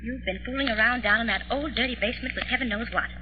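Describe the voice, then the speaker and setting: A woman's voice: on the high side, fast, close to an everyday voice. An actress playing an exasperated, nagging housewife and mother in a 1940s radio drama. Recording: dull, echoing, hissy old radio recording.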